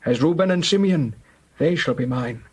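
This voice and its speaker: A frail old Hebrew father near death, played by a man in an audio scripture drama. His voice is raspy and sits fairly high. He speaks gravely and deliberately, with solemn tenderness. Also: expressive. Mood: solemn, reminiscing. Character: aged, dying Hebrew patriarch, solemn and tender.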